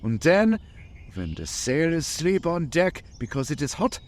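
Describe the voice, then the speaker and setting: An actor playing a grave, insistent foreign professor who hunts vampires, speaking deliberately in vintage radio drama style. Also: male voice, a little high, very animated. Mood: probing, insistent. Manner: deliberate, rhetorical questioning.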